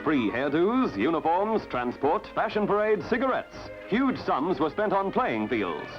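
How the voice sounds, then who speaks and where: A male newsreel narrator with a fairly high, very lively voice. He declaims his script formally. Music plays behind him on a dull, band-limited old soundtrack. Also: a little slow.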